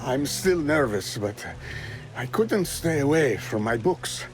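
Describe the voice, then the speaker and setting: A man's voice: medium pitch, animated, slow, background noise. An actor playing a nervous, bookish male translator in a video game, speaking hesitantly. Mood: nervous, sheepish. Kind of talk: video game character acting.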